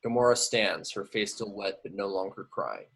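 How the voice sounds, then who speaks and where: A man's voice: lowish voice, expressive, normal speed, vocal fry, fairly bright tone, slightly rough. A man reading scripted action descriptions as narrator at an amateur table read. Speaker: reader of stage directions.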